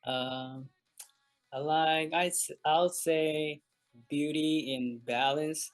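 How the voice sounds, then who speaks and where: Slow-talking man with a Korean accent. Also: fairly high pitch, fairly even intonation, faint music behind. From South Korea. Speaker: interview guest. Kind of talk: casual interview answers.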